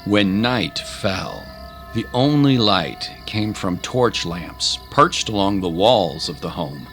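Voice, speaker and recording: A man's voice: deep voice, sing-song, takes it slowly. A man narrating a scripted adventure story in a dramatic style. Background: loud music.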